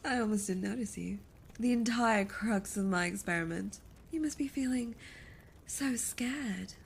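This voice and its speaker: A woman voicing a seductive, imperious and gloating succubus demon in an ASMR audio roleplay, breathy and often lapsing into whispers, with a theatrical, condescending delivery. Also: mid-range voice, a little slow, a little hiss or hum. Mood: mocking, teasing.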